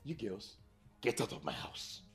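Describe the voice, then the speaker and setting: A man's voice: lowish voice, big pitch swings, a little slow, a bit bright, faint music behind. A man playing a furious, controlling Nigerian husband in a screen drama, indignant and commanding, often repeating himself. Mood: angry.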